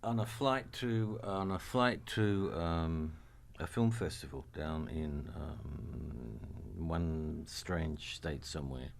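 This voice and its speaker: A man's voice: rumbling low, slow pace, gravelly, slight background noise. A man making casual, off-the-cuff conversation in a hesitant, trailing way, recorded off-mic.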